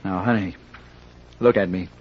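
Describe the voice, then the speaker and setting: An actor playing an earnest, anxious amateur sleuth in an old-time radio detective drama, speaking in a halting, confiding way. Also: male voice, sits low, fairly even intonation. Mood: urgent, confiding. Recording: dull, band-limited old radio recording.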